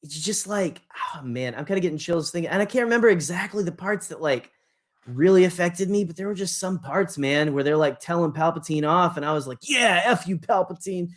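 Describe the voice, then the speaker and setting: A man's voice: high-pitched, animated, fairly quick. A man co-hosting a podcast in a casual fan discussion, rambling enthusiastically, often trailing off and repeating his words. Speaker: podcast co-host.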